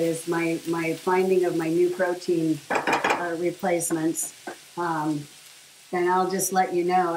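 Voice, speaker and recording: A middle-aged woman with a fairly low voice rambles casually to a camera set on her kitchen counter, full of ums and asides. The kitchen is echoey, with a faint hiss and food sizzling in a pan. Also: darkish tone. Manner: rambling and chatty, many fillers. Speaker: vlogger sharing her diet. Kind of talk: casual chat while cooking. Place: home kitchen, indoors. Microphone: camera on the counter at a short distance.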